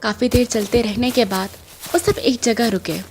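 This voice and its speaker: Woman narrating over loud background noise. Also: fairly high pitch, steady tone, moderate pace. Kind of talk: scripted horror audio drama narration. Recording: noisy track with loud ambience.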